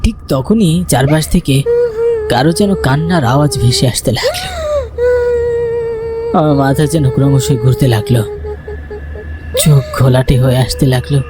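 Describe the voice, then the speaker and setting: A man acting a frightened, animated haunted villager. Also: mid-range voice, normal speed, pauses often, over loud music, close to an everyday voice. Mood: uneasy, suspenseful. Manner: suspenseful, stammering when addressing others. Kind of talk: first-person dramatic horror story narration.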